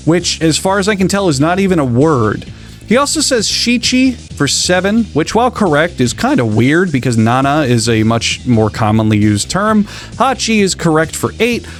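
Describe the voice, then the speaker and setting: A man with an American accent delivers scripted comedy commentary, sarcastic and mocking, drawing out words to make a point. His voice sits a little high and swings widely in pitch. Soft music plays underneath. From United States. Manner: sarcastic, mocking, drawn-out stresses. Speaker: YouTube commentary host.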